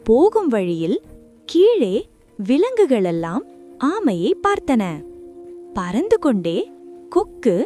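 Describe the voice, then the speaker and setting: A woman narrating a scripted children's story in short, deliberate phrases. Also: high voice, dark tone.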